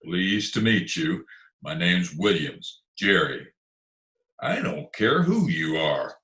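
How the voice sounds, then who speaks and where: A man playing a gruff, impatient, sardonic detective in an old-time radio comedy-mystery, brusque and deadpan. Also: deep voice, slow pace, exaggerated voice. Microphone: video call. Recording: roomy video call recording.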